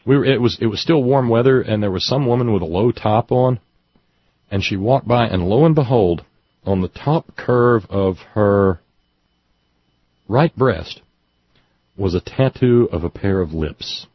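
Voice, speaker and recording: An older man with a low, husky voice hosts a casual talk show, spinning rambling anecdotes with wry chuckles. The sound is dry and muffled, with the highs rolled off. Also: a little slow, dry recording. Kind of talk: casual call-in chat.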